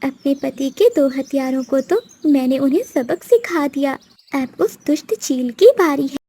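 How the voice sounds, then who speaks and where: High mellow voice, woman playing a scheming female bird, accusing, dramatic, children's moral-story cartoon. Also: a little slow. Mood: scheming, gloating. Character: vengeful, scheming female bird plotting against wicked crows.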